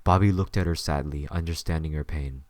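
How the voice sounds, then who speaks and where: A man's voice: a bass voice, steady tone, brisk, darkish tone. A man narrating a scripted story as the narrator of an audio drama.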